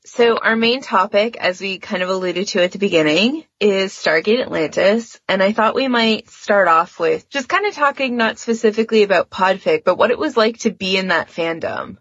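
A woman's voice: medium pitch, normal speed, hardly any pauses, a bit bright, slightly rough, dry recording, lo-fi sound. A woman hosting a fandom podcast in a casual, informal and explanatory way, with some hedging. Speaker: podcast host.

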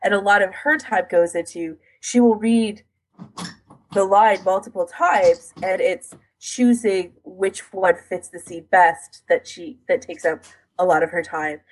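A woman's voice: medium pitch, a little slow, husky, rough recording. A woman co-hosting a podcast in casual, informal chat, sprinkling her talk with "like". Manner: informal, frequent "like" as filler. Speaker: podcast co-host.

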